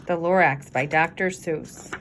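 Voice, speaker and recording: A woman reading a rhyming children's story aloud with expressive, deliberate delivery. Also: deep voice, a little hiss or hum. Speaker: storybook reader.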